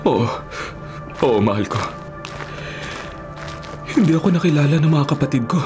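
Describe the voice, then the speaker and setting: A powerful Filipino governor voiced by a male radio actor, with a fairly high voice for a man. He speaks in measured, solemn phrases, stern yet hiding an old hurt, as music plays behind him. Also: lively intonation, close to an everyday voice. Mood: troubled, reflective. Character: powerful governor, stern but secretly wounded younger brother. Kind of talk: Filipino radio drama acting.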